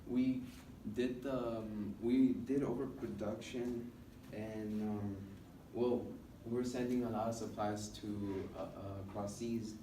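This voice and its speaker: A young man from the United States giving an informal student presentation in a classroom, speaking haltingly with many filled pauses. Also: lowish voice, fairly even intonation, dark tone. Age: young adult. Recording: roomy, noisy classroom recording from a distance.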